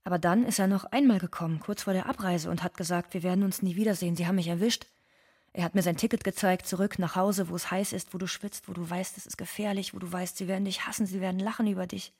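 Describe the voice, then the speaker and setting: An actress playing a bitter, wounded South American migrant woman in an audio drama monologue, speaking deliberately with insistent repetition. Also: on the low side, fairly even intonation, darkish tone, breathy edge. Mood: wounded, confiding.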